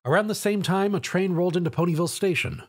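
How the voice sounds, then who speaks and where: A man reading an action story aloud as a scripted narration in an animated way. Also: sits high, fast, slightly rough.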